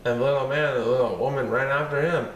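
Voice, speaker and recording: A man reading a children's story aloud in a lively, expressive way. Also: medium pitch, fast, recorded from a distance, a little hiss or hum. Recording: roomy echo, faint ambience.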